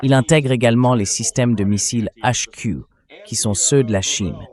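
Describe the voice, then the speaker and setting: A man's synthetic voice reading a translated interview as a military commentator, in a steady, explanatory delivery. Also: mid-range voice, moderate pace.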